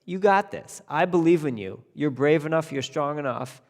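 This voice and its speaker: An overbearing, insistent king, as a male storyteller puts him on, barreling on in a high, loud voice. He will not let anyone finish. The room carries a long echo. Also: animated, moderate pace. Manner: insistent, talks over others.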